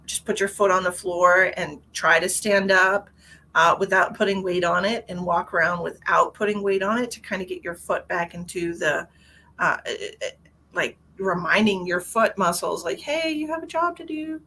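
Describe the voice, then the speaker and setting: A woman hosts a casual livestream from her car, her voice bright and forward. She rambles in a chatty way and answers viewers' comments as they come in, sounding a little stuffy. A faint hiss of noise sits under her voice. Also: fairly low pitch, normal speed. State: stuffy nose. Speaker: tarot reader hosting a livestream.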